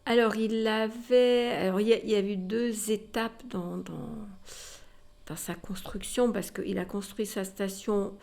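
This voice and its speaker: A woman's voice: medium pitch, fairly even intonation, unhurried, vocal fry, a little mellow. A woman working as a museum guide, telling history informally and explaining directly to her listeners. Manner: explanatory, addresses listeners directly with rhetorical tags. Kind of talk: informal spoken historical storytelling to a visitor.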